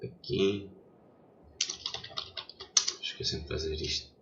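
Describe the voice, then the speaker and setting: A man's voice: very deep voice, fairly even intonation, slow pace, a bit bright, highs cut off, a little hiss or hum. A young man, stuffy from a cold, chatting hesitantly while setting up a gaming livestream. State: congested from a cold. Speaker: streamer. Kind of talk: casual gaming livestream chat.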